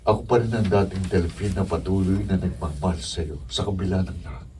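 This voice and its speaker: A man's voice: deep voice, fairly even intonation, a little fast, mellow, close to an everyday voice. An actor playing a devoted, wounded young man pleading earnestly in a Filipino radio drama. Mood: tender pleading. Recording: distant mic with background noise.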